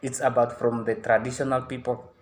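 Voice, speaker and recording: A man's voice: mid-range voice, level tone, a little fast. A young man makes an earnest appeal in English, his after a San tongue. His voice sits in an ordinary middle register. He pleads and repeats himself, restarting sentences as he pushes his case. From Namibia. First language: a San language. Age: young adult. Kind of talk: impassioned public appeal.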